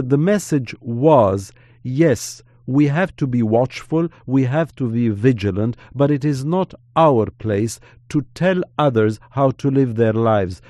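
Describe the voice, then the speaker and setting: Earnest, measured man with mellow voice. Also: mid-range voice, dry recording, studio quality. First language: Armenian. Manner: measured, earnest, preacherly cadence. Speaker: podcast commentator on Middle East affairs. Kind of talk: podcast discussion.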